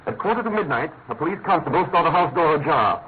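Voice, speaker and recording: An actor playing a brilliant, authoritative British detective in a radio drama, speaking incisively and logically. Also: male voice, fairly high pitch, very animated, quick pace. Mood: keen, brisk. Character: brilliant, brisk, commanding male consulting detective. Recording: tinny narrow-band radio recording with noise.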